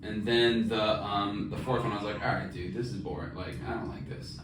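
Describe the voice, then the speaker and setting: A man's voice: fairly low pitch, steady tone, brisk. A man co-hosting a podcast, chatting casually about books in a laid-back, slangy way. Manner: laid-back slangy, says "dude" and "bro". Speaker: podcast co-host. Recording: very noisy, echoey room, distant mic.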